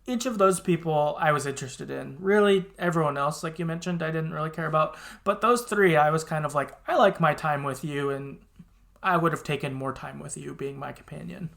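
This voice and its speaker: A man's voice: on the high side, fairly quick, vocal fry, a bit bright. A man co-hosting a podcast, talking casually and reflectively about video games in a conversational way. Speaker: podcast co-host. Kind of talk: casual video game discussion.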